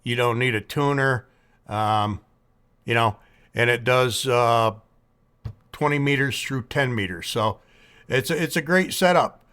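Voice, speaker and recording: A man talking casually as an amateur radio operator in a folksy, measured, plain-spoken manner. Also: medium pitch, fairly bright tone, clear, resonant tone. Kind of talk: casual ham radio contact.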